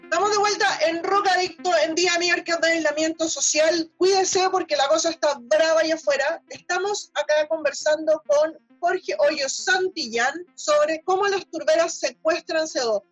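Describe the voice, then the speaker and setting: A woman hosting a radio show, lively and informal as she questions her guest. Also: very high-pitched, moderate pace, few pauses, soft background music, rough recording. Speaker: radio host.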